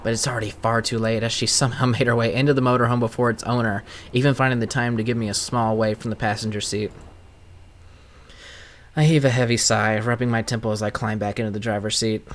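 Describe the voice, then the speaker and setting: A man's voice: medium pitch, flat delivery, fast talker, a little hiss or hum. A man streaming a visual novel, reading the narration aloud informally with casual asides. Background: loud game music.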